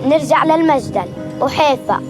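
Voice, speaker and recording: A woman's voice: sits high, very fast. A female voice with a Palestinian Arabic accent, delivering an impassioned scripted recitation. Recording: loud music behind.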